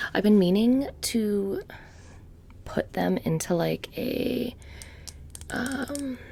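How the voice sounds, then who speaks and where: A soft-spoken woman doing close, whispery ASMR roleplay as a witch shopkeeper, with a casual, halting, deadpan menace. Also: medium pitch, creaky, a little hiss or hum.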